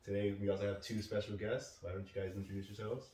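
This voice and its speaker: A man hosts a podcast chat and keeps it easygoing as he nudges his guests along. His voice is on the low side. The sound is distant and echoey, with faint noise behind. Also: flat delivery, quick pace, mellow. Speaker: podcast host. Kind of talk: casual chat. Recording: echoey room, distant mic.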